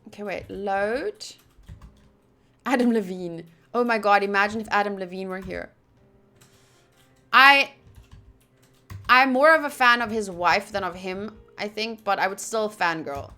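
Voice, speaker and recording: Bright-voiced woman streaming a game. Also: medium pitch, unhurried, long pauses, faint music behind. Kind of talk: casual livestream chat and game read-along.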